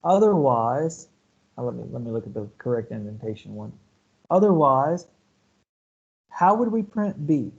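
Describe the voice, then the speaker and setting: Male lecturer, mellow voice, muffled lo-fi call audio, patient step-by-step explaining. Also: mid-range voice, very animated, normal speed, creaky, pauses often, husky. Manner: explanatory, checks in with "okay?" and "right?". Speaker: university computer science lecturer. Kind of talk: online lecture over shared slides.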